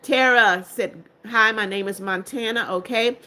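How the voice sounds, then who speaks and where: Bright-voiced strict older woman. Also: fairly high pitch, unhurried. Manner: strict, firm about blocking spammers. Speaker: livestream host. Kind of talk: reading out live chat comments.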